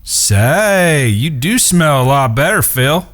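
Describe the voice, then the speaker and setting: A man's voice: on the high side, lively intonation, slow, a bit bright, a little hiss or hum. A man playing an inquisitive, plain-spoken American cowboy with a dry, folksy manner in a Western audio drama. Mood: wry, curious.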